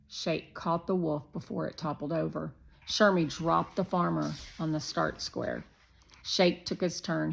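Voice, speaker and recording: A woman's voice: low voice, creaky. A woman narrating a scripted children's story as a read-aloud.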